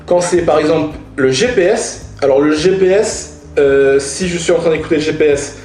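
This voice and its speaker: Casual man with higher voice, echoey sound. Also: moderate pace, a little mellow. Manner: informal, slangy, emphatic repetition. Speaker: motorcycle vlogger reviewing gear. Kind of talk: casual product review talk to viewers.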